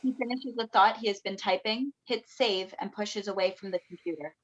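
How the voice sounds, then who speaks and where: A woman's voice: mid-range voice, moderate pace, muffled recording. A woman reading stage directions as scripted narration in a play reading over a video call.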